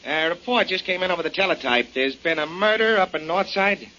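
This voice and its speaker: An actor playing a mild, hesitant, deferential police subordinate in a 1940s radio drama. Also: male voice, high voice, a little fast, bright, forceful tone. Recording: dull, hissy 1940s radio recording.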